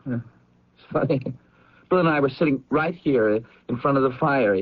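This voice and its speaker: An actor playing a troubled, hot-tempered man in an old radio drama, halting and repeating himself with nervous chuckles. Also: medium pitch, natural voice. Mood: brooding, uneasy. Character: troubled, hot-tempered man confessing bitterly over brandy. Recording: dull, husky radio drama recording.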